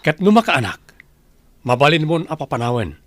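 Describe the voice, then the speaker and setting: A cold, domineering rich husband in an Ilocano radio play. His voice is slightly high for a man and recorded dry, with a commanding manner. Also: big pitch swings, fairly quick, dry recording. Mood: gloating, commanding. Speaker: radio drama actor. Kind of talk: Ilocano radio drama acting.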